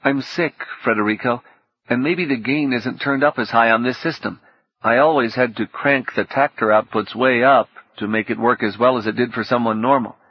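A man's voice: medium pitch, normal speed, breathy edge, dry recording, close to an everyday voice. A man voicing a sick, exhausted teenage boy who talks wearily and with resignation. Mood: weary. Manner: weary, flat, resigned. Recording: dull lo-fi cassette audio.

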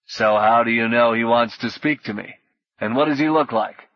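A man's voice: medium pitch, moderate pace, no echo, muffled recording, natural voice. A man voicing a blunt, ill-tempered male mercenary swordsman who speaks tersely. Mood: gruff.

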